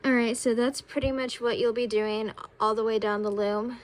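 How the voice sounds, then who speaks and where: Tired, raspy young woman teaching a craft. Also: a little high, normal speed. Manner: relaxed, talks while working with hands. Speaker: craft tutorial creator. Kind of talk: casual step-by-step tutorial.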